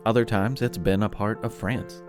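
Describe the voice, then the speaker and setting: A man's voice: fairly low pitch, steady tone, moderate pace, over music. A man hosting a children's show, narrating from a script in a playful, theatrical way with wry asides. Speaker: podcast host.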